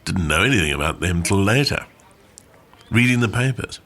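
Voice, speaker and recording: Man playing old war veteran, radio play monologue, wry, plainspoken, bright forceful tone, swooping intonation. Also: sits low, lively intonation, moderate pace. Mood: rueful, wry. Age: older. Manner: conversational reminiscing, dry understatement.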